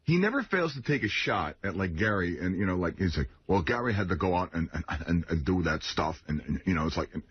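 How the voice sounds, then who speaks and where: Husky man with lively, swinging pitch. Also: a little fast, few pauses. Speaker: radio show cast member. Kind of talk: unscripted radio talk. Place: radio studio. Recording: dull, lo-fi radio recording.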